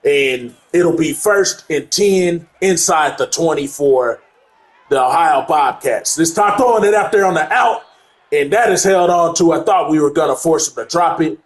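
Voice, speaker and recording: A man's voice: a little high, normal speed. A man doing casual play-by-play of his own football video game, his voice congested from allergies. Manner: casual play-by-play, gets loud and excited on big plays. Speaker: gamer playing through a football game. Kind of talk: play-by-play commentary on own gameplay.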